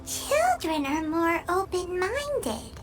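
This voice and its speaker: A woman voicing a tiny, excitable, whiny fairy companion in a video game, with a squeaky childlike voice and an exclaiming manner. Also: slow, loud music behind the voice, exaggerated voice. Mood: musing. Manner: refers to self in third person, exclaiming. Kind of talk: animated video game voice acting.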